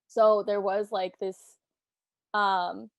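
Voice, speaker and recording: A young woman hosting a casual student podcast, chatting in a relaxed way with lots of filler words. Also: medium pitch, drawn-out words, gravelly, dry recording, highs cut off. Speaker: podcast host.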